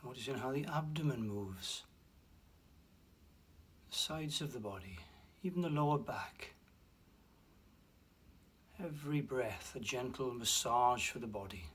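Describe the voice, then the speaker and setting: Soft-spoken male meditation guide. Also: medium pitch, animated, breathy edge. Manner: slow, soft and soothing, with long pauses.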